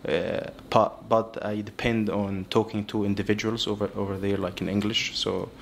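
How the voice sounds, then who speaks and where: A man's voice: fairly low pitch, little pitch movement, moderate pace, vocal fry, slight background noise. A man whose first language is Arabic, answering interview questions in English with frequent hesitant fillers. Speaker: graduate student guest.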